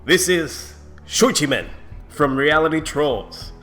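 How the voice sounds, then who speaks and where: A man's voice: a little high, slow pace, a bit bright, faint music behind. A young man with a stuffy nose, chatting casually to viewers in a rambling way full of fillers and restarts. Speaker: YouTube channel creator. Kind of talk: casual vlog address to viewers.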